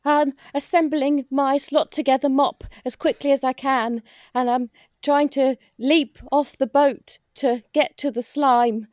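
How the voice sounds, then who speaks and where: A woman's voice: high voice, steady tone, a little slow, darkish tone, dry recording, studio quality. A woman playing an earnest, helpful but hapless cleaner in an improvised comedy roleplaying game.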